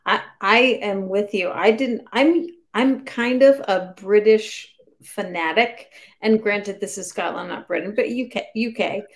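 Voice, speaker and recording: Woman on a book club video call, medium pitch, understated, kinda hesitant and casual. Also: measured pace. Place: small room at home.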